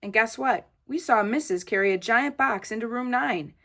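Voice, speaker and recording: A woman reading a children's book aloud as storytelling, in an expressive manner with childlike phrasing. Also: on the high side, normal speed.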